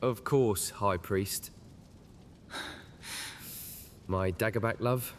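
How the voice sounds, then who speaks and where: A man playing a sly, slow-talking male witch. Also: on the low side, a little hiss or hum, lightly acted. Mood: smug, commanding. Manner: taunting, theatrical, with chuckles. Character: sly male witch brother, menacing and smugly charming. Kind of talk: cinematic horror audio drama.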